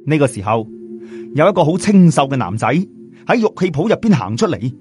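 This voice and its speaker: A man with a Cantonese accent narrating a scripted story in a dramatic, expressive way. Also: fairly high pitch, moderate pace. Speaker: audiobook narrator. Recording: music bed under voice. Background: background music.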